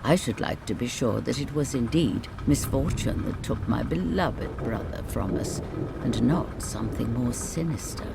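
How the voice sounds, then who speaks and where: Grave, commanding nobleman, as a storyteller reads him, rain behind. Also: expressive. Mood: quiet, suspicious. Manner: formal, measured, authoritative. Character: Lord Grath, grave commanding adult nobleman, the dead Emperor's brother. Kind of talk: bedtime fairy tale character reading. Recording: clear background rain and rumble noise.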